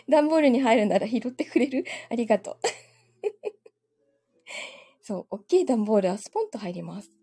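A woman's voice: on the high side, warm tone, breathy edge. A woman chatting casually from home on a livestream, giggling often and thanking her viewers as she goes. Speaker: livestream host. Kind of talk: casual chat with live viewers.

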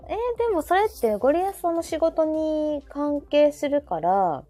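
A woman's voice: high-pitched, animated, moderate pace, dark tone. A woman hosting a live talk in casual chat, attentive and often chiming in with short listening sounds. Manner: attentive, frequent backchannels. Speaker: host of a live audio talk show. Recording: background music under the voice.

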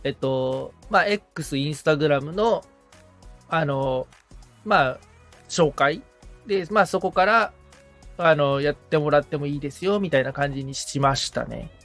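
Man, podcast assistant, fairly high pitch, casual chat, agreeable, candid. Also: a little slow, fairly bright tone, faint music behind.

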